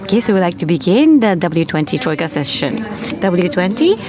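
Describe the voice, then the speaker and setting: A woman with a slightly low voice moderates a formal panel and then interprets for a speaker. She talks haltingly, with frequent fillers and restarts. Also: very animated, noisy background. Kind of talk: conference moderation and live interpretation. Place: conference hall.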